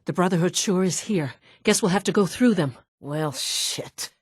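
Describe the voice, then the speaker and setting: A woman's voice: lowish voice, normal speed, husky, dry recording. A woman playing a brusque, dry-witted scientist from an underground institute in a video game, businesslike and wry. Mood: tense, urgent. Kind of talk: video game character dialogue.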